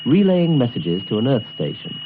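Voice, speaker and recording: A man presenting a scripted instructional guide, explaining things in a clear, didactic way. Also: mid-range voice, sing-song, moderate pace, phone-quality sound, background noise. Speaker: presenter of a shortwave listening guide.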